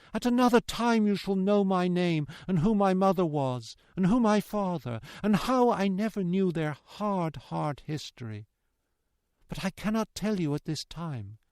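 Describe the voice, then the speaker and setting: Audiobook role: tender young daughter performed by a man, earnest and imploring, clean tone, fairly high pitch. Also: darkish tone, smooth, dry recording, studio quality. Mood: tender pleading. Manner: impassioned, pleading, repetitive cadences. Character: young, gentle, devoted daughter, tender and pleading. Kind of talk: dramatic audiobook character reading.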